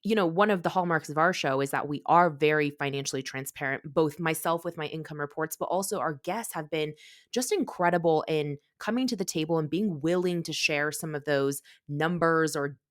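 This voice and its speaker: Low-voiced woman host, dry close sound. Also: fairly quick, dry recording. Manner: enthusiastic, earnest. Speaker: podcast host. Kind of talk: reflective host commentary.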